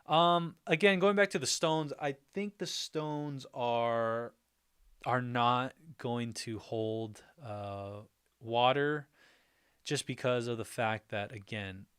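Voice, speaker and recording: A man's voice: medium pitch, takes it slowly, fairly bright tone, clear, resonant tone. A man hosting a casual livestream, reading viewer comments aloud, thinking them over and laughing often between remarks. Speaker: livestream host. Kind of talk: casual livestream reaction chat.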